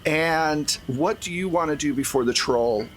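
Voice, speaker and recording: A man with a slightly high voice runs a tabletop role-playing game. He improvises the narration and explains things in a conversational way. There is faint noise behind him. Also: unhurried. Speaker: game master.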